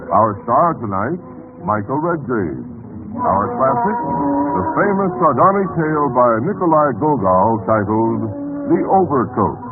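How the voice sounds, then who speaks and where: A man hosting an old-time radio program, giving a formal, declamatory scripted introduction. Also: medium pitch. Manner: formal, declamatory announcer delivery. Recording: tinny old radio recording, music behind.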